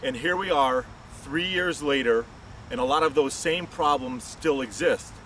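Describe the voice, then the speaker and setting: A man's voice: medium pitch, a little slow, fairly bright tone. A man giving a campaign address as a candidate for mayor, recorded at a distance from the mic with some background noise.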